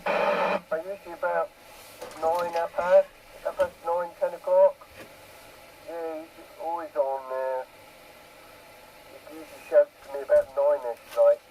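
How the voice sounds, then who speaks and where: A man's voice: a little high, fairly even intonation, measured pace, pauses often. A man chatting casually over CB radio, rambling along and repeating his phrases. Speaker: CB radio operator. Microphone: two-way radio microphone. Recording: CB radio transmission with background noise.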